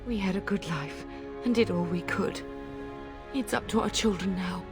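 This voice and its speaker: A woman's voice: mid-range voice, moderate pace, music in the background, natural voice. An actress playing a middle-aged noble mother in a video game, fierce, devoted and urgent, with emotional delivery. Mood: resolute, tender. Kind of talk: dramatic video game acting.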